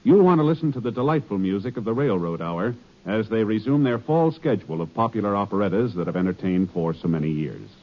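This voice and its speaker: An American man working as a 1950s radio network announcer, reading scripted copy in a polished, formal, measured promotional delivery. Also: lowish voice, animated. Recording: dull 1950s radio recording, faint music.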